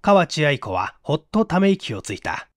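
Male narrator, scripted mystery story reading, expressive pitch movement, dry recording. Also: normal speed, no room sound. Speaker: audiobook narrator.

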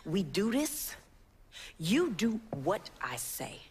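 A woman's voice: mid-range voice, very animated, takes it slowly, slight background noise. A woman playing a tough, defiant young American activist in a video game, blunt and bossy. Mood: hard, defiant. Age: young adult. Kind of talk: video game cutscene acting.

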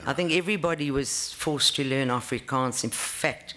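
A middle-aged woman with a South African accent, giving earnest, measured answers at a formal interview, recorded on a table microphone in a large hearing room. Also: rumbling low, fairly bright tone. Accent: South African English. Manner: earnest, measured, with frequent ums. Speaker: judicial candidate being interviewed.

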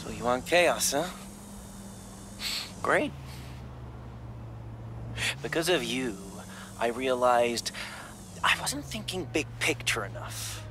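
An actor playing an arrogant, menacing villain who talks with contempt, as in a dramatic game cutscene. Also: male voice, mid-range voice, noisy background. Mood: simmering threat. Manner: slow, menacing, contemptuous delivery. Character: arrogant, menacing male villain, born to rule.

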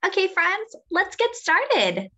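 A young adult woman reading a picture book aloud to small children, addressing them in a deliberate sing-song way. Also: very high voice, very animated, bright, forceful tone. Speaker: children's story reader.

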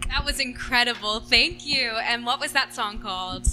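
A woman's voice: a little high, moderate pace, bright, forceful tone, faint music behind. A young woman hosting a college radio session, enthusiastic and giggly as she interviews a band. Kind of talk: casual interview. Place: college radio studio, couch. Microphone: handheld mic.

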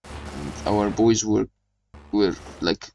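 A man's voice: on the low side, takes it slowly, creaky, dark tone, slight background noise. A young man hosting a gaming channel, chatting casually as he plays and reads mission briefings aloud. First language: not German. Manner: chatty, rambling, reading game briefings aloud. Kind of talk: casual game commentary.

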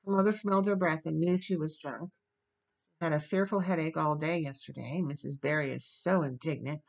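A woman's voice: low-pitched, fairly even intonation, normal speed, vocal fry. A woman voicing an earnest, dramatic young orphan girl in a storybook, imploring and effusive. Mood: woeful.

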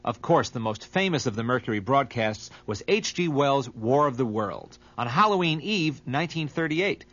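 A man's voice: medium pitch, lively intonation, moderate pace, a bit bright, lo-fi sound. A man reading scripted documentary narration.

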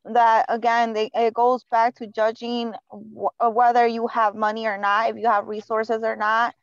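A woman's voice: a little high, level tone, moderate pace, clear, resonant tone, no echo, highs cut off. A woman with a Chicago accent calling in to a talk show, talking casually with many fillers. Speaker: caller.

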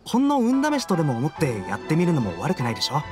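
A man's voice: a little high, wildly swooping pitch, fast talker, soft background music. A man playing a modest, easygoing young townsman in an anime game, casual and reasonable. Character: modest, easygoing young male townsman hoping to pass exams. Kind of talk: Japanese anime game voice acting.

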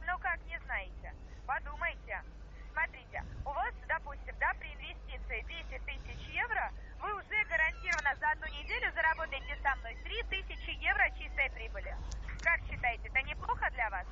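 A woman giving an insistent sales pitch over the phone, peppering the listener with questions. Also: animated, a little fast. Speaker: cold-call investment salesperson. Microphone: phone call. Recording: noisy muffled telephone line.

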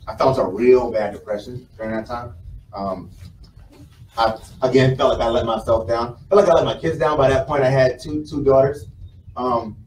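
A man's voice: mid-range voice, darkish tone. American man giving a roomy, distant motivational talk. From South Carolina, United States. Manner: conversational, storytelling, repeats phrases for emphasis. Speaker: motivational speaker. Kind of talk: informal motivational speech to a live audience. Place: indoor conference room with a small stage. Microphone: camera mic from the audience, several meters away. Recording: roomy, distant mic, some background noise.

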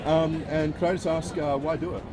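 A man's voice: a little high, steady tone, a little fast. A man interviewing people at a convention, asking hesitant questions full of fillers. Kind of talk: casual street interviews. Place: busy convention hall. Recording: loud crowd noise and music.

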